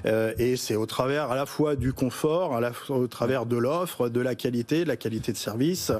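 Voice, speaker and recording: A middle-aged man being interviewed in a TV studio as a restaurant chain executive. He talks haltingly, with many fillers and restarts. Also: mid-range voice, a little mellow. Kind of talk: TV business interview.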